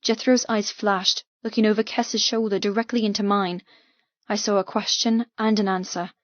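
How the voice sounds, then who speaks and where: A woman's voice: medium pitch, steady tone, moderate pace, dry recording, lo-fi sound. Intense woman narrating fiction, dry sound. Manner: intense, emotive. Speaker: audiobook narrator. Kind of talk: dramatic first-person fiction narration.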